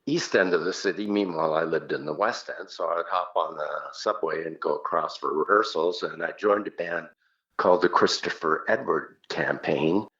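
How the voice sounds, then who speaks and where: A man's voice: deep voice, normal speed, a bit bright, dull audio. An older man with a Canadian accent, a singer casually reminiscing as an interview guest.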